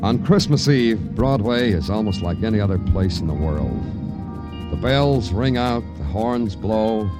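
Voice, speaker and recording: World-weary noir cop, low voice, measured and wry, vintage radio crime show, scored. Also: male voice, lively intonation, loud music behind the voice. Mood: wistful, brooding. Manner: measured, poetic, understated. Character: world-weary, wry, brooding Broadway police detective. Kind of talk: 1950s radio noir acting and narration.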